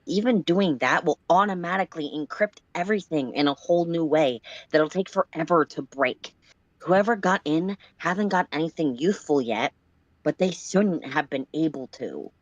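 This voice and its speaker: Woman as a low-voiced grave ship's hacker. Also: normal speed, no room sound, muffled recording. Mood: grave, resigned. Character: gloomy ship's hacker reporting over the speaker.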